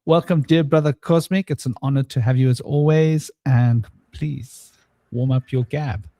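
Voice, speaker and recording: A man hosting a casual spiritual round-table chat, rambling and relaxed, with a somewhat droning voice and many fillers. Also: medium pitch, a little slow, no room sound. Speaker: host. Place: home studio.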